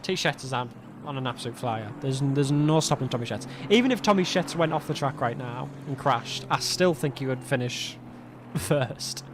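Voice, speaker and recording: A young man commentating a sim race alone, chatty and self-mocking, laughing often, his voice going a little hoarse. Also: mid-range voice, lively intonation, moderate pace, noisy background. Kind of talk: solo sim racing commentary. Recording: game car sounds behind.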